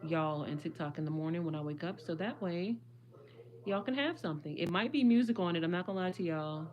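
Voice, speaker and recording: Chatty slightly hoarse woman. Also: lowish voice, fairly quick, a little mellow, a little hiss or hum. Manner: chatty, rambling, addresses viewers as y'all. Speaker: vlogger. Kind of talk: casual livestream chat.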